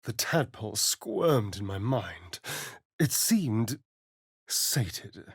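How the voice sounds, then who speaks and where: An actor playing a sardonic, vain vampire spawn rogue in a video game, with a theatrical, drawling British delivery. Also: male voice, lowish voice, sing-song, drawn-out words, gravelly, slightly rough, dry recording, clean studio sound, exaggerated voice. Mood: dramatic narrating. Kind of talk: video game character voice lines.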